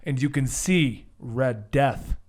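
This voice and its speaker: A man narrating a tabletop superhero game as game master, telling it in an animated and often amused way. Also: mid-range voice, slow.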